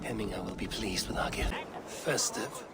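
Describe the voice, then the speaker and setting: A man playing a resolute Viking warrior in a video game cutscene, speaking in a measured, commanding way. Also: lowish voice, over loud music, lightly acted. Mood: calm, concerned. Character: resolute Viking warrior, stern adult male peacemaker.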